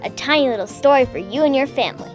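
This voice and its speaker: High-voiced woman announcer over prominent music. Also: animated, quick pace. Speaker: radio segment announcer. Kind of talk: scripted radio jingle announcement.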